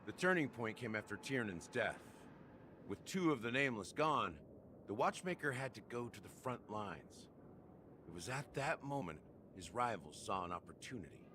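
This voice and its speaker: A man's voice: on the low side, big pitch swings, moderate pace, pushed and bright, a little hiss or hum. An actor playing a world-weary, grave man recounting a regretful past in a video game.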